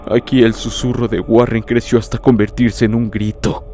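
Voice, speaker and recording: A man narrating a horror story in the first person, in a grave, literary, dramatic manner with deliberate phrasing. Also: mid-range voice, level tone, warm tone, a little hiss or hum. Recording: prominent music behind the voice.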